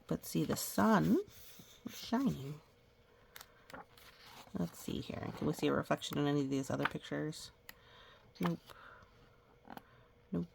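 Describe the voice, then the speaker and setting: A woman reading a picture book aloud to young children, gently pausing to explain things and ask questions. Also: low-pitched, lively intonation, vocal fry, slight background noise. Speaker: children's story reader.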